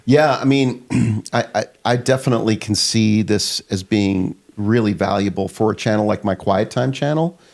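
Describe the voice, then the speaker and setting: A man whose first language is German, co-hosting a casual podcast in a relaxed, agreeable way. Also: fairly low pitch, moderate pace, gravelly, hardly any pauses. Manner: relaxed, agreeable, with many short affirmations. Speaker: podcast co-host.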